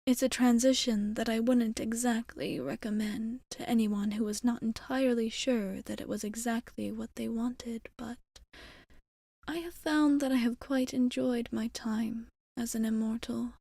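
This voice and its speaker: A woman playing a gentle, courteous vampire bartender in an ASMR roleplay, soft-spoken and breathy, often near a whisper, with hesitant, formal phrasing. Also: on the high side, steady tone, a little slow, dry recording. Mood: careful, earnest. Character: gentle, courteous female vampire bartender, tenderly devoted immortal. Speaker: ASMR performer. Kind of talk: ASMR roleplay addressed to the listener.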